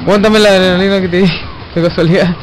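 A man's voice: high-pitched, steady tone, fast talker. A young man with a Chilean accent hosting a co-op game, chatting casually in slangy, sweary bursts. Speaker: gamer hosting a co-op playthrough. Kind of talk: casual gaming chatter and commentary. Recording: noisy voice-chat recording with loud game sound. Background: video game action sounds.

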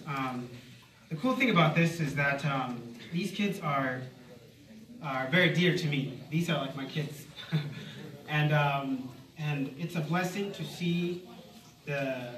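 A man's voice: fairly high pitch, a bit bright, far from the mic. Friendly choir leader, man, in an echoey hall. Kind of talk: introducing a choir. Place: large community hall stage.

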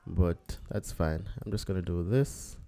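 A man, a photographer, talking through a photo edit step by step in a relaxed screen-recorded tutorial, thinking aloud as he works. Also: sits low, a little slow, gravelly. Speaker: photographer teaching photo editing.

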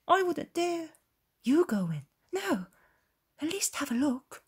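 A woman voicing nervous, reluctant shepherds in a story, hesitant and urging in hushed tones. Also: high-pitched, big pitch swings, takes it slowly, pitched above the performer's own voice, exaggerated voice.

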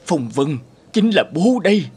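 A man's voice: a little high, big pitch swings, moderate pace, a little mellow, slight background noise. A man voicing a humble, devoted middle-aged father in a cartoon, hesitant and earnest. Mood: tender, pleading. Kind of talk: animated cartoon dialogue.

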